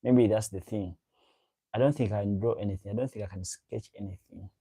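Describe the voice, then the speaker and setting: A man's voice: on the low side, fairly even intonation, a little fast, gravelly, mellow, husky. A man hosting a livestream, chatting casually with viewers and reading out their comments, repeating himself as he goes. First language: a local African language. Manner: rambling, repeats himself, chats directly to viewers. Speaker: livestream host.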